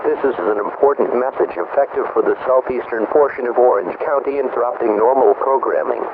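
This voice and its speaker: A man's voice: mid-range voice, close to an everyday voice. Man acting as sober alert announcer, tinny noisy radio. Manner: official, impersonal, urgent wording. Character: sober male county health emergency alert announcer. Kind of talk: formal scripted emergency broadcast reading.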